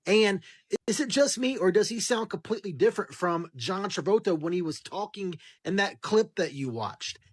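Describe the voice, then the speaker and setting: Male commentator, rough-edged voice in a dry space, casual, questioning, circling back on his points. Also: high voice, expressive, moderate pace, dry recording. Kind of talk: casual speculative video commentary.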